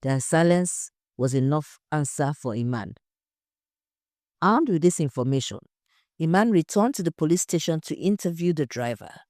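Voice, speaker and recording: A woman's voice: low-pitched, expressive, normal speed, a little mellow, dry recording, clean studio sound. A woman narrating a scripted story.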